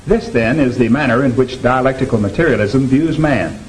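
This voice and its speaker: A man's voice: medium pitch, fairly quick, lightly acted. A man lecturing formally and emphatically as a teacher in a 1950s educational film, on a noisy old soundtrack. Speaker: teacher leading a classroom discussion.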